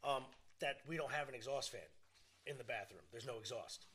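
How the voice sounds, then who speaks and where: A man co-hosting a podcast, chatting casually, explaining at length and swearing with animated energy. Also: medium pitch, brisk, slightly rough. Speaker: podcast co-host. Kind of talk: casual chat.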